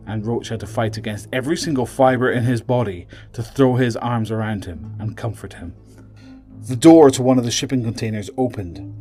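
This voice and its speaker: Low-voiced male narrator over music. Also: mellow. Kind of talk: dramatic scripted audio drama narration.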